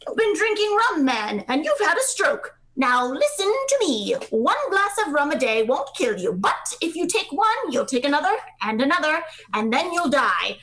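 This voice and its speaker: A woman's voice: sits high, very animated, moderate pace, few pauses, a bit bright, low-quality recording. A woman playing a stern male country doctor in a radio play, scolding and lecturing in a brusque, blunt manner.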